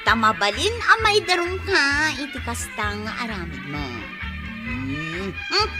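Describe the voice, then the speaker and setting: A woman's voice: high-pitched, sing-song, moderate pace. A woman with a Filipino accent delivering theatrical scripted narration as a radio drama announcer. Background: music.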